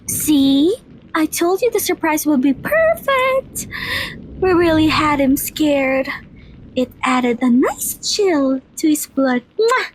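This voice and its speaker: High-pitched, giddy girlish vampire mistress. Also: slow pace, slight background noise, exaggerated voice, rough recording. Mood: smug delight. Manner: gushing, excitable, girlish. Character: giddy, childlike vampire mistress, playful and eager. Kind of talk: ASMR roleplay acting.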